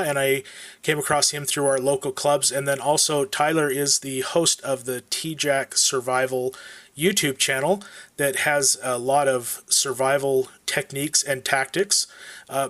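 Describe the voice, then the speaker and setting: A man's voice: medium pitch, fairly bright tone, no room sound. An American man hosting a podcast, giving announcements and introducing an interview.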